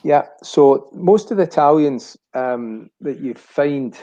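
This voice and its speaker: A man's voice: on the high side, expressive, slow pace, mellow, highs cut off. A Scottish man of about sixty reminiscing casually as a chat show guest, rambling and searching for words. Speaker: chat show guest, family business director.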